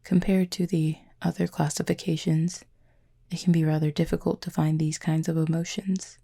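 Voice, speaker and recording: Low, creaky, husky cupid played by a woman. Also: little pitch movement. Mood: calm, explanatory. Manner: measured, formal, soft-spoken with breathy pauses. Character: gentle, formal, otherworldly wounded cupid, composed and wistful. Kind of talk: intimate audio roleplay monologue.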